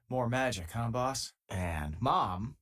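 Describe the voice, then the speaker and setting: A man playing a loyal, easygoing former miner and spaceship crewmate in a video game, with a husky, casual, wry delivery. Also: mid-range voice, animated, very slow, a bit bright, exaggerated voice. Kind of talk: video game companion dialogue.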